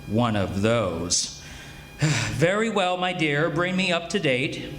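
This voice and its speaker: A man's voice: on the high side, animated, slow pace, a bit bright. A man playing a tipsy, theatrical photographer sidekick in a 1940s-style radio mystery, speaking in pompous, flowery flourishes. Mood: grandly whimsical. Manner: pompous, grandiloquent. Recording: roomy echoey stage recording with noise.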